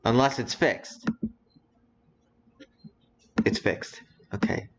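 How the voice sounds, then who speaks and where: Teen boy, casual stream commentary, slow unhurried talk, muffled lo-fi sound with faint hiss. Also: mid-range voice. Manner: muttering, reading aloud while browsing. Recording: dull lo-fi audio with faint noise.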